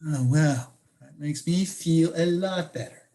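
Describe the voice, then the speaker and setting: A woman's voice: sits low, measured pace, warm tone. A woman acting a prying, incredulous close friend in a conversational comic one-act, with teasing, probing questions. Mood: shocked.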